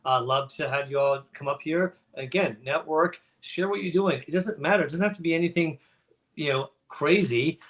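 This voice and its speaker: A man with a slightly high-set voice hosts a relaxed online livestream. He chats off the cuff in a jokey, loosely rambling way, addressing people directly. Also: moderate pace. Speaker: host of an online networking livestream. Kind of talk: casual unscripted chat. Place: indoor room on a video livestream.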